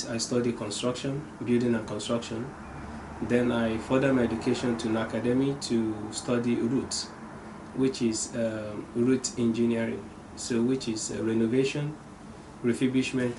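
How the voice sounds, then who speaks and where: A man's voice: mid-range voice, background noise. A man from Nigeria, a company owner, answering interview questions earnestly with frequent hesitations. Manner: earnest, hesitant with many ums.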